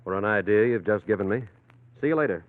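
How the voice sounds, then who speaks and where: Thin, dry vintage radio sound frames an actor as a confident male private eye. He is terse and breezy, with a low voice and lively, swooping inflection. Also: fast, no room sound, lightly acted. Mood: decisive. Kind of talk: 1940s radio drama acting. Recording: tinny, narrow-band old radio recording.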